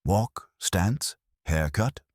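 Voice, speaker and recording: A man's voice: low-pitched, expressive, very slow, dry recording, voice pushed up, heavily acted. A man voicing a terse, observant investigator in an audiobook mystery, dry and matter-of-fact. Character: terse, observant male investigator reporting by phone.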